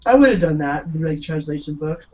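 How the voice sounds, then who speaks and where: A man's voice: on the high side, expressive, brisk, a little mellow, lo-fi sound. A man, a father, in casual chat, cracking jokes and laughing often. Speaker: parent.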